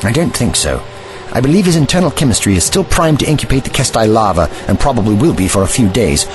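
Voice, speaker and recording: A man's voice: mid-range voice, very animated, fast talker, lightly acted. A man playing an older, commanding and protective time traveler in an audio drama, speaking formally and precisely in an avuncular way. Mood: calm, firm. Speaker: actor in a sci-fi audio drama.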